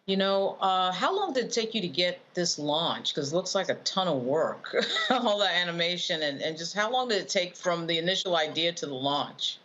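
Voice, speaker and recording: A woman's voice: lowish voice, animated, moderate pace, a bit bright, dull audio. A woman on a panel asking a casual question.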